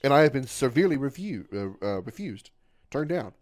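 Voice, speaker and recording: A man in his mid-thirties streams a game and talks casually to his viewers in a voice of ordinary, middle pitch. He sounds glum and runs himself down, circling back over the same points. He trips over his words again and again. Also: big pitch swings, a little fast. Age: 30s. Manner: rambling and repetitive, stumbles over words; glum and self-deprecating. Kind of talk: casual livestream chat with viewers.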